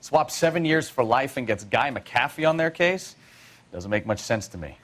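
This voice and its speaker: A man's voice: mid-range voice, lively intonation, normal speed, a bit bright. An actor playing a blunt, cynical male detective partner. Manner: blunt, sardonic.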